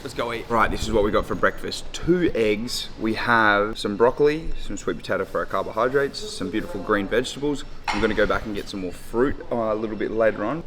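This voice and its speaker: A man's voice: fairly low pitch, fairly bright tone. An Australian man talking casually and upbeat, vlogging a trip and commenting on his own footy game, with the odd casual swear. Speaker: vlogger and amateur footy player. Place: outdoors at a stadium and hotel.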